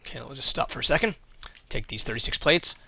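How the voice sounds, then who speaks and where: Bright-voiced man losing his voice. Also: mid-range voice, fast talker, gravelly, slightly rough. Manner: thinks aloud, mutters, swears casually. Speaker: let's play host. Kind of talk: casual gameplay commentary.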